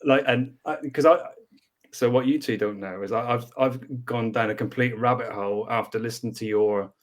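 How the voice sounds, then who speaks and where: A man's voice: medium pitch, steady tone, moderate pace. A man from Northern Ireland with a mild Northern Irish accent, a band member chatting as a guest, laughing often and repeating words as he thinks. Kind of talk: casual chat.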